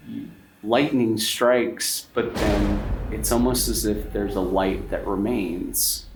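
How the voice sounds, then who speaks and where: Deep-voiced man, unhurried suspenseful game master. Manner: dramatic, suspenseful, with repeated phrases. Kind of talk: horror tabletop game narration. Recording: roomy, distant mic, faint noise.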